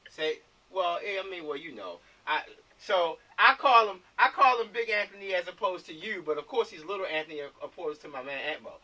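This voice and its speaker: A man's voice: high-pitched, lively intonation, a little fast, few pauses, bright, forceful tone. An American man casually directing a planning chat over a video call, repeating himself and often checking that he is understood. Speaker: film director running a meeting. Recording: distant mic on video call.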